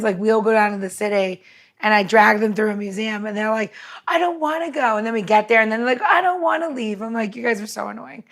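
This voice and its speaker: A woman co-hosting a casual podcast chat, talking in a chatty, quick way. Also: medium pitch, fairly bright tone. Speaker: podcast co-host.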